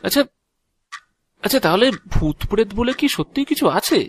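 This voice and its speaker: A man's voice: high voice, lively intonation, normal speed, breathy edge, no echo, exaggerated voice. An actor playing a hesitant, inquisitive mortal man who is questioning an agent of Death in an audio drama. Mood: curious. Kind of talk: Bengali audio drama acting.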